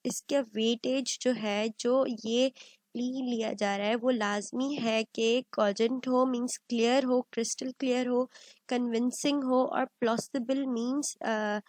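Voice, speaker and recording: Woman, law lecturer. Slow, halting explanatory delivery, dry close sound. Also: fairly high pitch, steady tone, a little mellow, dry recording, poor audio. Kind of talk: explanatory lecture, Urdu mixed with English legal terms.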